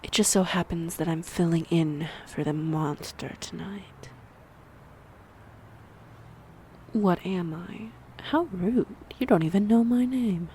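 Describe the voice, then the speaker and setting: A woman playing a snide, predatory vampire in an audio roleplay, mocking and teasing, with a breathy voice that slips into whispers. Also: lowish voice, measured pace, hardly any pauses, dark tone, slight background noise. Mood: menacing, taunting. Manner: mocking, teasing, theatrically menacing. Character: snide, predatory female vampire toying with prey. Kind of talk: audio roleplay acting addressed to the listener.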